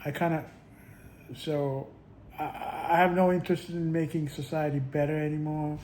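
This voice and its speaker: A man vlogging in a casual, rambling monologue, halting often with restarts and little chuckles. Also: fairly high pitch, little pitch movement, a little mellow, a little hiss or hum.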